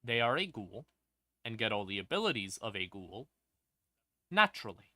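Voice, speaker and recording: A man hosting a livestream, explaining lore casually and wryly, in halting phrases broken by pauses and emphatic stresses. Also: fairly low pitch, sing-song, pushed and bright, no echo. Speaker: livestream host.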